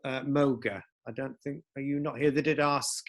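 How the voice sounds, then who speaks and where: A man moderating an online question session, calling on participants in a brisk, organizing way. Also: mid-range voice, steady tone, a bit bright.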